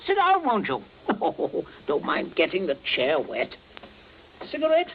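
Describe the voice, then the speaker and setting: Brief: actor as genial, menacing patriarch, lively highish voice, thin old radio sound. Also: male voice, measured pace. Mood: falsely genial. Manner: suave, commanding, breezy menace. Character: cold, genial middle-aged father with a gun, menacing. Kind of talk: 1940s radio acting. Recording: tinny lo-fi archive radio with faint hiss.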